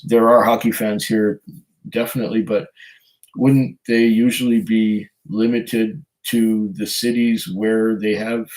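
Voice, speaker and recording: Hoarse man chatting casually on a live stream. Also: fairly low pitch, darkish tone, low-quality recording. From Canada. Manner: rambling, hesitant, chuckles often. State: hoarse from a cold. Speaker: whiskey channel host reading live chat.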